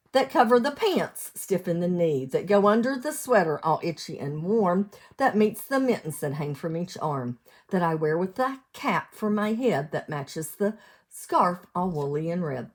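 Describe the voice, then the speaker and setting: An American woman reading a storybook aloud to young children as a teacher would, in an expressive sing-song way, asking them questions. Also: fairly low pitch. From United States, lived in West Virginia.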